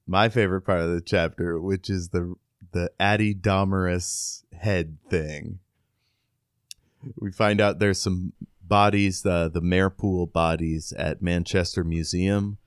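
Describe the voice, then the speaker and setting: A man's voice: sits low, smooth, no echo, studio quality. A man co-hosting a podcast, informally recapping and quoting in a casual book discussion. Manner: informal, conversational. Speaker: podcast co-host.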